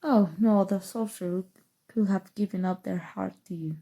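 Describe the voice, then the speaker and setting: A woman playing a calm, seductive, obsessive evil sorcerer in an intimate, coaxing ASMR roleplay, with dramatic pauses. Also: mid-range voice, gravelly, slightly rough. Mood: possessive, intense. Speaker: voice actor. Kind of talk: ASMR roleplay, scripted acting.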